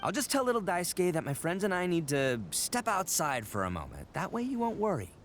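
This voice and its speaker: An actor playing a boisterous horned oni man in a video game, loud and boastful, stammering as he bluffs. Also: sits high, huge pitch swings, fairly quick, background noise. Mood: coaxing. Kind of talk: video game cutscene acting. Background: game music.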